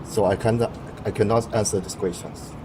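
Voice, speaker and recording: A young man with a Taiwanese accent answers interview questions in English, his first language being Mandarin. His voice sits low and sounds dark and mellow. He halts and restarts often while he searches for words. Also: fairly even intonation, brisk, a little hiss or hum, rough recording. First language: Mandarin Chinese.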